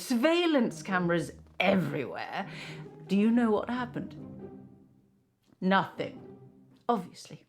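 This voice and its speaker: A woman playing a bitter, scornful middle-aged aunt with a British accent, acerbic and dramatic, in an interactive mystery film. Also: mid-range voice. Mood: scornful, animated. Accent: British English. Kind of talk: naturalistic screen acting in an interactive mystery film.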